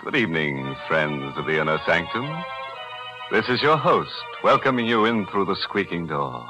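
A very deep-voiced man hosts a 1940s radio horror anthology, lacing his welcome with ghoulish puns and sly chuckles. Music plays under his voice on an old broadcast recording. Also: very animated, moderate pace. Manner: macabre punning with sly chuckles.

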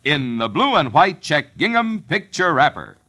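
A man's voice: fairly high pitch, big pitch swings, measured pace, loud music behind the voice. A man reading a commercial as an announcer in an old-time radio advertising style.

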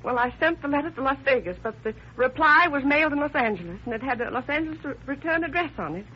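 A woman's voice: sits high, expressive, quick pace. An actress playing an anxious, insistent middle-aged mother in an old-time radio crime drama. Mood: agitated, insistent. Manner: fretful, insistent, emotional. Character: anxious, determined mother fearing for her missing son. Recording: muffled, noisy old radio recording.

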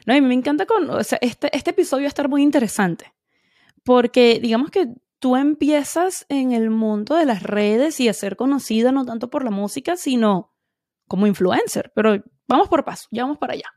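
A woman's voice: high-pitched, animated, normal speed, darkish tone, dry recording, clean studio sound. A woman hosting a podcast, chatty and effusive with her praise. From Venezuela. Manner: gushing, effusive. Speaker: podcast host. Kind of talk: casual interview chat.

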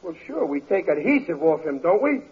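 A grumbling blue-collar dad in an old radio comedy. His voice is high for a man, and he is sarcastic and folksy. The sound is very muffled, like a vintage broadcast. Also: very animated, fairly quick, noisy background. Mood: exasperated, sarcastic. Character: grumbling, sarcastic working-class family man and father. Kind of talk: 1940s radio comedy acting.

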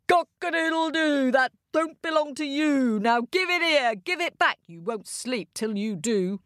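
A bold, cheeky little rooster from a children's story. The voice is high and very lively, with drawn-out crows and defiant sing-song demands. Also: female voice, dry recording, studio quality. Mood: defiant, triumphant. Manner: shrill drawn-out crowing and chanting rhyme.

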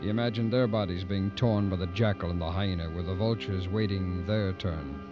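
A man's voice: low-pitched, steady tone, moderate pace, loud music behind the voice. A man giving scripted narration in the manner of a 1950s radio drama. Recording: muffled old radio recording.

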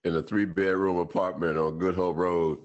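A man's voice: low voice, level tone, moderate pace, dull audio. A man co-hosting a sports radio show, talking easily with chuckles and preachy asides. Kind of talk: casual podcast banter.

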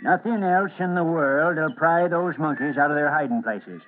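A man's voice: fairly high pitch, normal speed, close to an everyday voice. An actor playing a folksy, cocky old carnival animal man, teasing and rambling, in old-time radio drama style. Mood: scheming, confident. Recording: tinny, noisy old radio recording.

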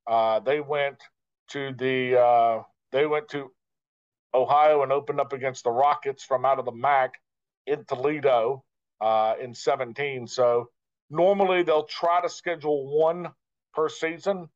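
A man with a mid-range voice, heard through slightly dull, lo-fi audio. He is a broadcaster giving conversational interview answers. His delivery is measured and reflective, broken into short phrases with pauses. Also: mid-range voice, fairly even intonation. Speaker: guest, radio play-by-play broadcaster. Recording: dull, lo-fi sound.

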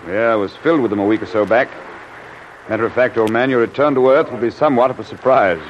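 An actor playing a genial, frank British submarine commander with hearty officer's slang in an old-time radio drama. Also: male voice, medium pitch, fast talker, natural voice. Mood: chatty. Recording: muffled, noisy old radio recording.

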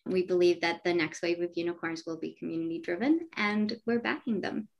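A woman's voice: on the low side, brisk, vocal fry, dull audio. A woman whose first language is Spanish, a venture capital partner speaking enthusiastically as an interview guest. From United States. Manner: upbeat, enthusiastic, businesslike. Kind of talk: conversational interview.

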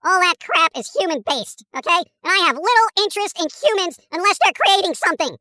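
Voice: extremely high pitch, expressive, fairly quick, sharp tone, no echo, full character voice. A performer voicing a wisecracking, cynical cartoon squirrel who delivers comic news chat in a sardonic, dismissive manner. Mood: dry, dismissive. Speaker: podcast host character.

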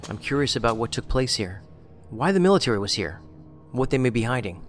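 An actor in an audio drama playing an inquisitive male federal agent with a measured, dry, probing delivery. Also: medium pitch, sing-song, natural voice. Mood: dry, guarded. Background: music.

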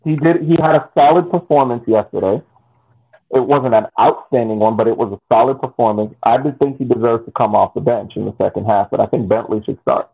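A man's voice: medium pitch, fairly quick, gravelly, husky. A man co-hosting a casual sports podcast, talking emphatically and repeating himself. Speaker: podcast co-host. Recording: tinny phone-like line.

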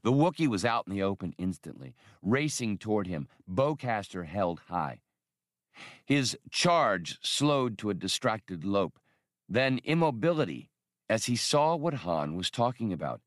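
A man's voice: lowish voice, big pitch swings, measured pace, no room sound, studio quality. A man reading scripted narration from a science fiction adventure novel as an audiobook narrator.

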